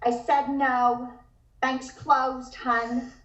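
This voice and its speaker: A woman's voice: high voice, little pitch movement, very slow, fairly bright tone, lo-fi sound, exaggerated voice. A woman playing a brusque, sassy 1920s flapper, curt and scornful, in a table read with roomy, distant sound. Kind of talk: comic period drama table read.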